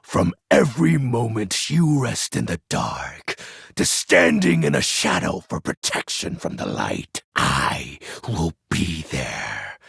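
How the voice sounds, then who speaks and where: An actor playing a melodramatic, edgy lord of shadows in a video game, pompous and theatrical, with a gravelly, breathy voice. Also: male voice, deep voice, sing-song, slow, a bit bright, no room sound, studio quality, exaggerated voice. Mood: menacing, triumphant. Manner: pompous, theatrical, archaic wording. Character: melodramatic edgy male shadow lord, grandiose living darkness. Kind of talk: video game character acting.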